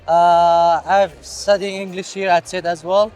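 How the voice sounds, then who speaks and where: Hesitant Syrian man, high voice. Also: flat delivery, unhurried, darkish tone, faint music behind. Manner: hesitant, many fillers and restarts, non-native English. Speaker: student. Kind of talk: casual interview answers.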